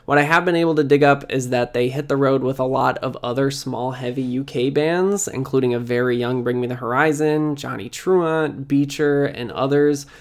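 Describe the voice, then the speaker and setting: A man's voice: mid-range voice, moderate pace, hardly any pauses. A man hosting a music history series, narrating a scripted video essay in informal, conversational phrasing.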